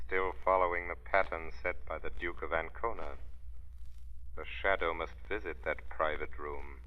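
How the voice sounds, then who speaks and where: An actor playing a mysterious, menacing male avenger with deliberate, taunting speech, in 1930s radio style. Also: deep voice, fairly even intonation, smooth, muffled recording, natural voice. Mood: ominous. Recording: old radio recording with music behind.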